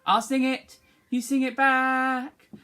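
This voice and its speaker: A woman's voice: high voice, flat delivery, slow, sharp tone, faint music behind. A woman teaching children a song, speaking encouragingly and singing short phrases for them to repeat. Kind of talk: online singing lesson, call and response.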